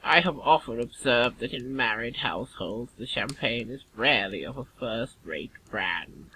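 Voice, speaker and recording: Man playing sharp-toned, deadpan English manservant. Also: medium pitch, a little hiss or hum, rough recording. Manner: formal, measured, deadpan. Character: deferential, deadpan English manservant, dryly formal. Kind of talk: audio play acting of Victorian comedy of manners.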